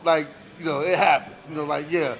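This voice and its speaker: High-voiced American man in animated sports banter. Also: a little fast, creaky, poor audio. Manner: animated, repeats words and phrases for emphasis. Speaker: sports radio show co-host. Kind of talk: casual, slangy sports banter.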